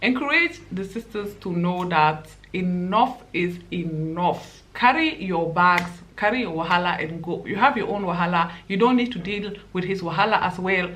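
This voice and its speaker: A woman's voice: fairly low pitch, animated, normal speed, few pauses, fairly bright tone, slight background noise. A young woman with a Nigerian accent, giving impassioned, pleading commentary to camera as a vlogger.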